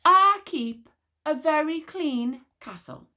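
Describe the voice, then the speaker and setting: A woman reading aloud, voicing an imperious, fierce queen who talks down to everyone in a shrill, commanding voice. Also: lively intonation, slow pace, bright, forceful tone, exaggerated voice. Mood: haughty.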